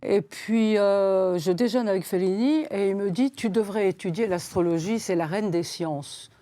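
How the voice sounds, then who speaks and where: Older lady in her 80s rambling on a talk show, lots of uh and restarts, mellow low-ish voice, dry sound. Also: normal speed, dry recording. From Switzerland. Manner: rambling, many hesitations and repeated words. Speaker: guest. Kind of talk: interview. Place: studio set.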